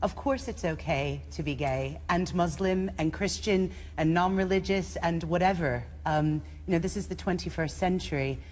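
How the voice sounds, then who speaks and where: Low-voiced woman panelist, positive and articulate. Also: moderate pace, hardly any pauses, darkish tone, a little hiss or hum. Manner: upbeat, affirming and articulate. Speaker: debate panelist. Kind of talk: studio debate answer.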